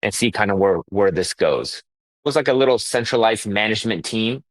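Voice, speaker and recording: A dentist as an interview guest, telling his career story in a casual way with quick bursts and restarts. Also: lowish voice, no echo. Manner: energetic, fast bursts with restarts.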